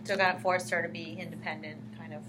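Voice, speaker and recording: A woman's voice: fairly low pitch, little pitch movement, fast talker. A woman interviewing someone casually, prompting with short follow-up questions. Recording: humming background noise.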